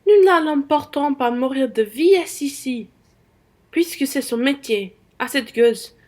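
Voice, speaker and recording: A somewhat high female voice from an actress playing a merchant's wife on the radio. She is coarse, spiteful and scornful, with a sharp tongue. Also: expressive, measured pace. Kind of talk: radio play acting.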